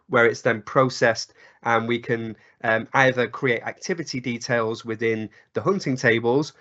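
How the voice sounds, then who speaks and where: A man with a croaky voice from a cold walks through a technical webinar at a mid pitch. He talks in loose spoken phrasing, full of ums and uhs. The call audio sounds slightly dull. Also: fairly bright tone. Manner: many ums and uhs, restarts. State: hoarse from a cold. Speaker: presenter. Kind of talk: webinar presentation.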